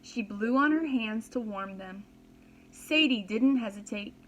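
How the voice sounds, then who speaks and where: A woman teacher reading a storybook aloud to young children, deliberate and expressive, pausing to explain. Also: fairly high pitch, slight background noise, low-quality recording. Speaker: pre-kindergarten teacher.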